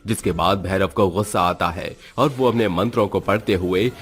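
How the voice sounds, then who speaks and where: A man's voice: sits low, steady tone, normal speed, fairly bright tone. A man reading scripted narration for a cartoon horror tale as the storyteller.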